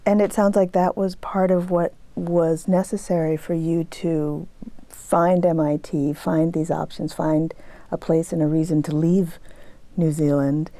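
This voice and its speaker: A woman interviewer asking curious, gently probing questions. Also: sits low, little pitch movement, unhurried, no echo. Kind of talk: oral-history interview questions.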